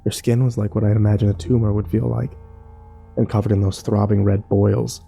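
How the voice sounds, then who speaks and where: Man playing bitter male survivor, first-person horror narration, dark mellow tone, brooding, faint music behind. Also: fairly low pitch, little pitch movement, brisk, creaky, slightly rough. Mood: grim, shaken. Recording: music bed under the voice.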